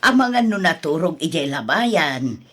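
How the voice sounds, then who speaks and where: A suspicious, gossipy village aunt in an Ilocano radio drama played by a woman. She has a slightly low voice and speaks like someone who knows everyone's business and is quick to lament. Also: big pitch swings, a bit bright, heavily acted.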